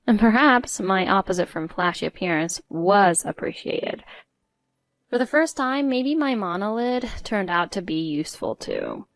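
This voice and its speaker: A woman's voice: on the low side, no room sound. Woman narrating a story, very dry sound. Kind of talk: scripted first-person story narration.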